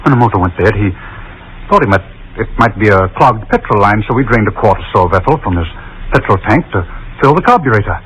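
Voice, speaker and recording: An actor playing an accused young husband, flustered and indignant, halting and protesting in 1940s radio style. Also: male voice, lowish voice, lively intonation, a bit bright, a little hiss or hum, low-quality recording.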